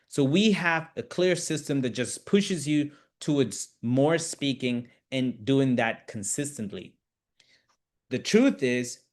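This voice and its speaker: A man from Tanzania, an English teacher hosting a live online class, talking straight to his viewers in an encouraging, direct way. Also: mid-range voice. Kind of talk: live webinar talk to viewers.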